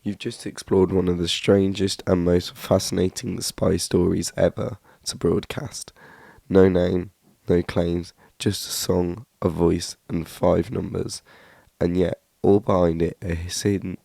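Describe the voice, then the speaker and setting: A man's voice: low-pitched, level tone, a little slow, vocal fry, mellow, no echo. A man reading a scripted documentary narration, stumbling now and then and restarting his sentences. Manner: reads a script with frequent slips and restarts.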